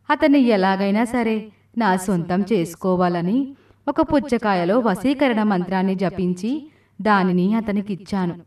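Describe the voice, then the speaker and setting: A woman's voice: mid-range voice, darkish tone, recorded from a distance, natural voice. A cursed demon woman from a magical storybook tale, acted by a woman, heard slightly away from the microphone. She speaks in a commanding, theatrical way, as one used to ruling a village by fear and burdened by a curse. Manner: commanding, threatening delivery. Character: cursed watermelon demoness demanding marriage, menacing then remorseful. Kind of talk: dramatic storybook voice acting.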